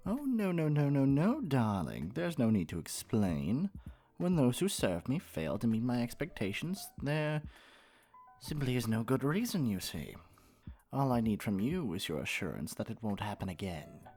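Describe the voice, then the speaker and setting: A man voicing a haughty, domineering lamia noble in a fantasy roleplay, with a pompous, drawn-out, patronizing manner. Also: medium pitch, big pitch swings, a little mellow, breathy edge, faint music behind. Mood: condescending, stern. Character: haughty male lamia noble, wealthy retired merchant, condescending and domineering. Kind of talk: scripted ASMR fantasy roleplay.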